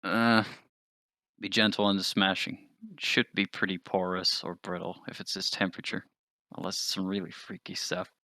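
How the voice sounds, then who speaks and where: Male player, very low pitch, forceful bright tone, casual, technical, explanatory, dry sound. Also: fairly even intonation, normal speed, no echo. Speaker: tabletop roleplaying player. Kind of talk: casual in-game discussion.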